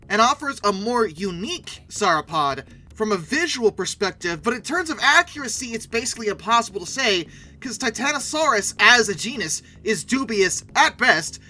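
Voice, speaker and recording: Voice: medium pitch, sharp tone. A video host giving casual, sarcastic commentary with emphatic delivery.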